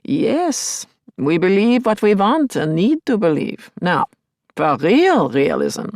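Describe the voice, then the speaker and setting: An actress voicing an older woman clinical mentor in an audio play, asking pointed questions in a measured, mildly amused way. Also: medium pitch, sing-song, gravelly, a little mellow, no echo, studio quality, voice pushed up, heavily acted. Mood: probing, amused.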